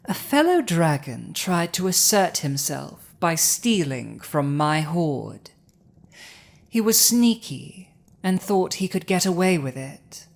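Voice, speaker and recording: A woman playing a proud, dominant dragon ruler in an intimate audio roleplay, with a languid, haughty, theatrical delivery and dramatic pauses. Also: low-pitched, vocal fry, exaggerated voice. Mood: menacing storytelling.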